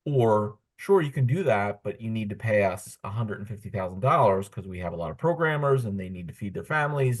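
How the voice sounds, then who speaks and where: A man's voice: fairly low pitch, breathy edge. A man, a pediatric intensivist on a panel, telling his story and acting out quoted exchanges. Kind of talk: panel discussion.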